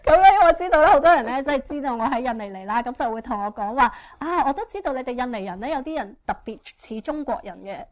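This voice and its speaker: A woman interprets a church testimony phrase by phrase and keeps up with the speaker. She talks fast and fluently, with frequent fillers. Her voice is a little higher than most women's. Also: animated. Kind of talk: consecutive interpretation.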